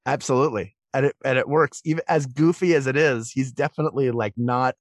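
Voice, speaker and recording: A man's voice: medium pitch, a little fast, no echo, clean studio sound. A man talking as a guest in a casual discussion, explaining things and rephrasing as he goes. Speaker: podcast guest, performer.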